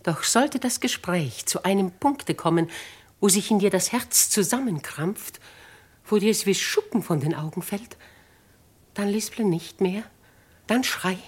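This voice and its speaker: An actress playing a shrewd, commanding noblewoman in a 1950s German radio play, husky, emphatic and archly courteous. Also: medium pitch, animated, measured pace. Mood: urging, encouraging. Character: shrewd, commanding noble old spinster, mockingly gracious.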